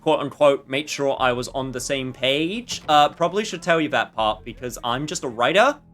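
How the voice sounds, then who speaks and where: A man's voice: medium pitch, moderate pace, a bit bright. A man streaming a game, giving casual commentary full of dry, joking asides.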